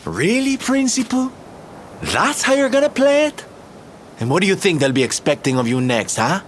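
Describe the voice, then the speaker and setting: A man's voice: sits high, very animated, normal speed, a little hiss or hum. A man playing a flamboyant, teasing showman in a video game, theatrical, camp and coaxing in manner. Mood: teasing, challenging.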